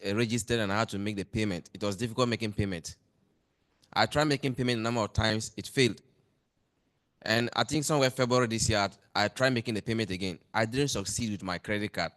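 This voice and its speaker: A man's voice: on the low side, fairly bright tone, clean tone. A man, a lecturer raising an earnest complaint at a meeting and pressing his questions. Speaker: lecturer and association member. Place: meeting hall.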